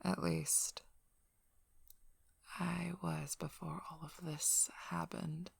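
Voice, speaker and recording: A woman playing a curt, sardonic, guarded female werewolf pack leader in an ASMR role-play, speaking bluntly and drily in a breathy, often whispered voice. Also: low voice, no echo, heavily acted. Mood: matter-of-fact. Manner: blunt, dry, impatient, unhurried.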